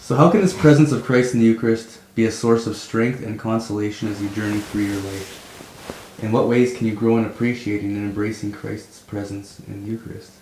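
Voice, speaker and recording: A man leading a discussion, asking measured questions. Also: fairly low pitch, steady tone, a little mellow. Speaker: discussion leader. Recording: roomy room, faint noise.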